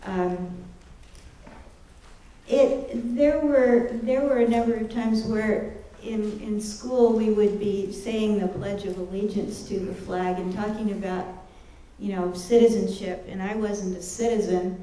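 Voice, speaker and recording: A woman in her seventies, a native German speaker, talks haltingly and reflectively in a flat, level voice with a mellow tone. She sounds very echoey and distant, as if picked up across a large lecture hall. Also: medium pitch. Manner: halting, reflective, many fillers. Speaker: panelist, child refugee. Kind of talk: personal testimony at a lecture.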